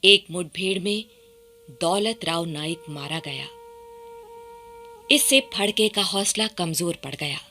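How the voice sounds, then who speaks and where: A woman narrates a scripted historical radio drama in a formal storytelling manner. Her pitch is average for a woman but moves in an animated way, and the tone is bright and forceful.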